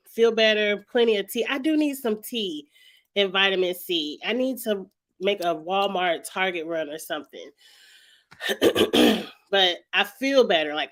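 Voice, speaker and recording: A young woman hosting a casual reality TV recap, her voice a little raspy from a cold, chatting loosely and often clearing her throat. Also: mid-range voice, fairly bright tone. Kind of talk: casual vlog chat.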